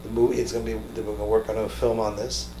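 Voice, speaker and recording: A man hosting an interview in a conversational way, haltingly, repeating words as he searches for his phrases. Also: on the low side, level tone, mellow. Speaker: talk show host interviewing a guest. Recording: roomy echo with faint background noise.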